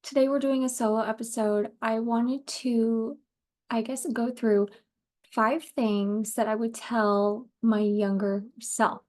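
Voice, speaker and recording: Mellow, higher-pitched woman chatting casually. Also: steady tone, slow pace. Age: 30s. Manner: informal, rambling, laughs easily, occasional swearing. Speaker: podcast host. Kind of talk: casual solo podcast chat.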